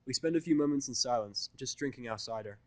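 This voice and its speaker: A man's voice: mid-range voice, fast talker, no echo. A man reading scripted first-person fiction in a wry, informal way. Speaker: audiobook-style fan fiction narrator.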